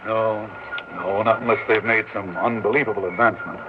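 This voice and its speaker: An actor playing a puzzled scientist professor who explains things in a flat, matter-of-fact way. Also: male voice, lowish voice, brisk, close to an everyday voice. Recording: tinny old radio broadcast with hiss.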